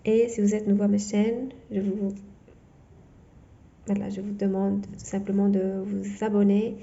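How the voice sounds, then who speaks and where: A woman whose first language is not French, giving a tarot reading in a halting way full of fillers and restarts. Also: medium pitch, little pitch movement, gravelly, warm tone, lo-fi sound. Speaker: tarot reader.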